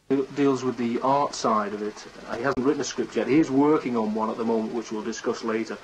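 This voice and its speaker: A man's voice: mid-range voice, normal speed, noisy background. A man, chatting casually as an interview guest. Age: 20s. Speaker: interview guest, amateur radio drama writer.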